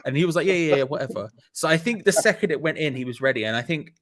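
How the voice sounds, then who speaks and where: A man's voice: on the high side, animated, very fast, fairly bright tone, dry recording. A man hosting a casual live fan stream, talking in a chatty, rambling way with lots of restarts. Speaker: host of a fan show.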